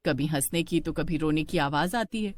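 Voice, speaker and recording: A woman narrating a scripted horror cartoon story in an expressive storytelling style. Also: deep voice, moderate pace.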